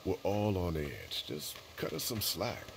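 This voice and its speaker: A man with an American accent and a gravelly voice, playing a weary, protective survivor in a video game. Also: sits low, big pitch swings, a little slow, noisy background, exaggerated voice. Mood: weary, steady. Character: weary, protective survivor, calm leader of men.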